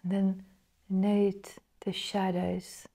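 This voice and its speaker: A woman guiding a meditation in a soft, breathy, partly whispered voice, measured and full of pauses. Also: medium pitch, completely flat delivery. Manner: slow, measured, pausing between phrases. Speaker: meditation guide. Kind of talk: guided meditation narration.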